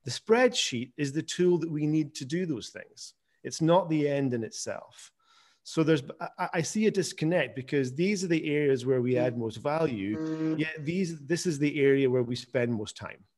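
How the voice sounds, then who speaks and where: A man's voice: on the high side, expressive, normal speed, a little mellow. A man giving an informal webinar presentation over a video call, with frequent restarts and repeated words. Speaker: guest presenter, software founder.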